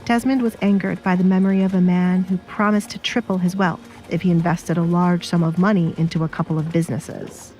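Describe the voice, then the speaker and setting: A woman narrates a scripted story for an audio drama. Her pitch sits a little low, and music plays faintly behind her. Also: steady tone, fairly quick, hardly any pauses, warm tone, poor audio.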